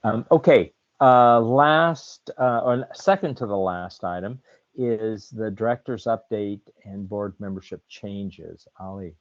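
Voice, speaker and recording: A man chairing a board meeting, speaking in a relaxed, unhurried way. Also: lowish voice, no echo. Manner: slow, relaxed, laughs easily. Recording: dull, dry, distant audio.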